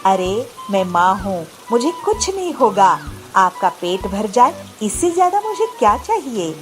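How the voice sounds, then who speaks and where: Cartoon mother bird played by a woman, tender, fretful, lively pitch, unhurried, music underneath. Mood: loving, reassuring. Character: caring, devoted mother bird fretting over chicks.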